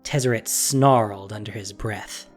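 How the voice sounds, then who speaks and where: A man narrating a scripted fantasy story in an expressive, dramatic way. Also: lowish voice, unhurried, soft background music.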